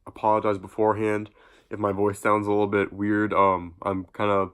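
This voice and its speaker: A man's voice: on the low side, completely flat delivery, moderate pace, clear, resonant tone. A young man with a stuffy nose from a cold, chatting casually in a vlog and rambling with lots of ums and restarts. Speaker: YouTube vlogger. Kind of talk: casual reaction vlog talk.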